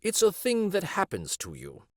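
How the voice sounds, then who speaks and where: A man's voice: high voice, wildly swooping pitch, normal speed, a bit bright, no echo, clean studio sound, pitched above the performer's own voice, heavily acted. A man voicing an old, shabby, wise toy horse in a storybook, explaining things patiently and truthfully. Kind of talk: storybook character voice.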